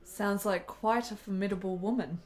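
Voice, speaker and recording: A woman's voice: mid-range voice, fairly even intonation, moderate pace, a little mellow, lightly acted. A woman acting a frail lovesick woman, echoey room. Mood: subdued, gentle. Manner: melodramatic, intensely emotional. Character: frail, sick, desperately lovesick woman, prone to hysterical wailing. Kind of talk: period radio drama acting.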